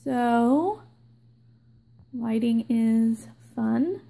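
Slow-talking woman hosting a nail tutorial. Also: on the high side, creaky, mellow. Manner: slow, filler-heavy explaining with many ums. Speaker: nail art channel host. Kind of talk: casual nail stamping tutorial. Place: indoors at a table, hands filmed from above.

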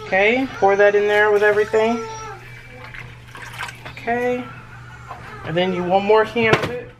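A woman's voice: medium pitch, fairly even intonation, unhurried. Chatty woman cooking in a kitchen. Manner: chatty, reassuring, addresses viewers as y'all. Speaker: home cooking vlogger. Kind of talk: casual cooking walkthrough. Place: home kitchen. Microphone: camera mic across the kitchen. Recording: noisy home kitchen recording. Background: clinking dishes and cutlery.

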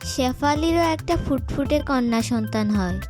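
A woman narrating a cartoon story in a calm, measured storytelling manner. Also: high voice. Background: music score.

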